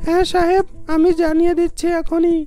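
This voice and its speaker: A woman's voice: extremely high pitch, little pitch movement, brisk, mellow, faint music behind. A woman voicing an obsequious, deferential landlord's steward in a cartoon. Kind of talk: cartoon dubbing.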